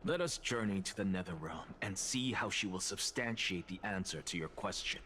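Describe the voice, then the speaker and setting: A man's voice: low-pitched, lively intonation, moderate pace, pushed and bright, a little hiss or hum. An actor playing an arrogant, intense male scholar who talks in a haughty, commanding way and sighs often. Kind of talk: video game voice acting.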